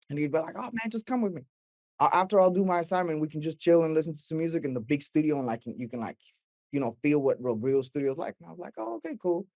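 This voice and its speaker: A young man from Mexico talks through his path into audio engineering in a loose, friendly interview. He tells it as a rambling story, slipping into lively quoted voices. His voice sits at an ordinary pitch, and the sound is close and dry with no room echo. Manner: relaxed storytelling, animated quoting with filler words. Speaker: guest, mix engineer. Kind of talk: casual interview chat.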